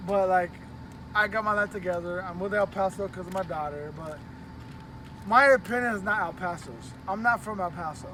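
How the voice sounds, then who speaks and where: A man's voice: sits high, a little fast, pushed and bright, slight background noise, rough recording. A man from California talking casually outdoors on a city street at night, emphatic and profane, repeating his words. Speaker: interviewee.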